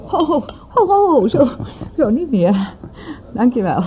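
A woman's voice: sits high, sing-song, slow, dull audio, soft background music, heavily acted. A woman playing a vulnerable, guarded suburbanite who talks in a confiding, self-deprecating way in a radio play. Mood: tipsy, relaxed. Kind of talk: radio play dialogue, naturalistic.

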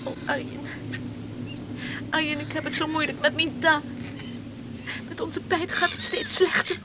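A woman's voice: sits high, steady tone, a little slow. An actress playing a distraught, reproachful young island woman in a Dutch radio play, tearful and pleading. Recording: old radio recording with faint noise.

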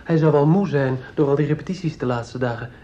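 A man's voice: a little high, quick pace, warm tone, close to an everyday voice. A young actor playing an earnest, touchy teenage boy in a radio play, polite and sincere in his speech. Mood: polite, concerned. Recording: dull, noisy radio play recording.

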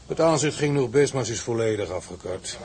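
A man's voice: mid-range voice, expressive, brisk, a little mellow, lightly acted. An actor playing a blunt, grumbling seaman with a dry manner in audio drama dialogue.

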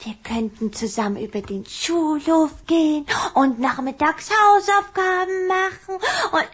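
A woman playing an affectionate, excitable little vampire girl in a children's audio drama, coy and eager in manner. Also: sits high, measured pace. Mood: smitten, coy.

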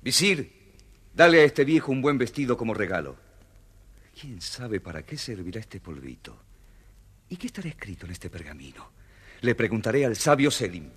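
An actor playing a curious, commanding young caliph with a husky voice in a children's story record. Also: male voice, fairly low pitch, big pitch swings, moderate pace, pauses often. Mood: curious, regal.

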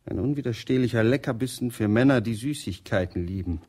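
An actor playing a deferential butler in a radio play, with a husky, formal voice. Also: male voice, mid-range voice, fairly quick, darkish tone, close to an everyday voice.

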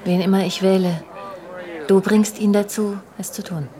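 A woman's voice: medium pitch, steady tone, normal speed, a crowd in the background, natural voice. An actress in a German radio drama playing a worldly wife who confides in her husband about their restless, jealous marriage. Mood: probing, intense. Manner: literary, confiding, addressing her husband directly. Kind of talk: German radio drama, intimate narration and dialogue. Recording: music behind the voice. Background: music.

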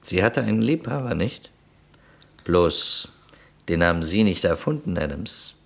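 Shrewd low-voiced detective. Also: male voice, animated, unhurried, gravelly, full character voice. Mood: dry, needling. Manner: probing, dry, sardonic questioning. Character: shrewd, skeptical male police detective interrogating. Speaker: actor in a crime radio play. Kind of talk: German crime radio drama acting.

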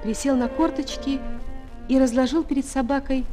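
A schoolteacher narrator played by an actress with a very high voice. She tells a story expressively as part of a children's audio play, with music behind her. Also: fairly even intonation, moderate pace, close to an everyday voice. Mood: wistful. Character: female schoolteacher quietly following and admiring her pupil.

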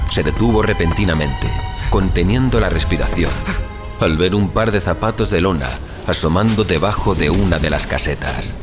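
A man narrating a scripted suspense horror story as an audio fiction narrator. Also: low-pitched. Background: music.

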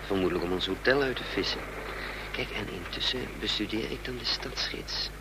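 Casting: male actor as sardonic, protective fugitive agent for radio drama, lowish voice, noticeably noisy tape. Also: a little fast, natural voice. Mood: dry, scheming. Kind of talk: Dutch radio play acting and first-person narration. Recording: noisy radio play recording.

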